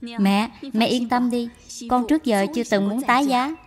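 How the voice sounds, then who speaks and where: A woman voicing a gentle, dutiful young daughter-in-law in a cartoon dub, soft, earnest and deferential. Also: fairly high pitch, normal speed, lightly acted.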